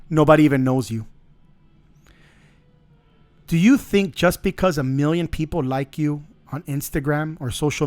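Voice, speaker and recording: A middle-aged man with a fairly high voice hosts his own podcast. He talks off the cuff in a blunt, joking manner and swears freely. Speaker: podcast host. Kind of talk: casual monologue.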